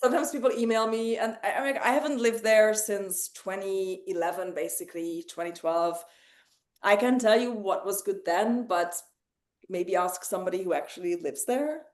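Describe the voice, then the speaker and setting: A woman from Hungary hosting a casual group discussion over a video call, quick and chatty with laughing asides. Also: mid-range voice, darkish tone, reverberant.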